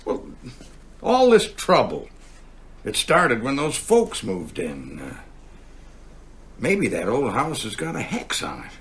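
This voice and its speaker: A man's voice: mid-range voice, wildly swooping pitch, slow pace, long pauses, a little hiss or hum, heavily acted. An actor playing a folksy, gossipy small-town lawman in a radio drama, drawling and rambling. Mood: musing, grumbling. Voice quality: husky, gravelly.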